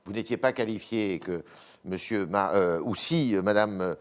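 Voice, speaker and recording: A man's voice: on the low side, moderate pace, clear, resonant tone. A man interviewing a politician, asking probing questions with hesitant fillers and frequent interjections.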